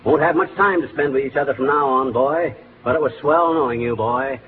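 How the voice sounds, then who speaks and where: An actor playing a blustery, glib middle-aged husband in a 1940s radio comedy, folksy and self-important. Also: male voice, mid-range voice, a little fast, natural voice. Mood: glib, boastful. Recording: tinny, noisy 1940s radio broadcast.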